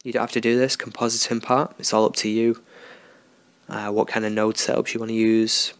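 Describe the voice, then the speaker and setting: A man talking through a software tutorial in a relaxed, unscripted way, thinking aloud as he works. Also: lowish voice, fairly quick, dry recording. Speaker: tutorial host. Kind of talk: screen-recorded software tutorial.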